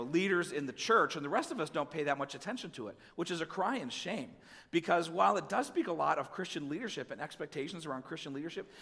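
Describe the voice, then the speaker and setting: A male pastor with a head cold, slightly raspy, giving a conversational sermon and reading scripture with asides to the congregation. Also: on the high side, a bit bright, big-room sound.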